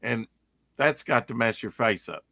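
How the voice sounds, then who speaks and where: A man's voice: medium pitch, lively intonation, a little slow, creaky, sharp tone, dry recording. A man hosting an online stream, chatting with guests and agreeing often with short yeahs. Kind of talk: casual interview chat.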